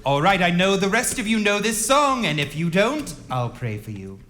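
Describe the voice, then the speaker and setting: A man's voice: high-pitched, sing-song, moderate pace. A man playing a prim, fussy, motherly governess in a live stage comedy skit. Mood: bossy. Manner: prim, theatrical. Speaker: chorus performer. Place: theater stage. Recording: echoey theater with live music.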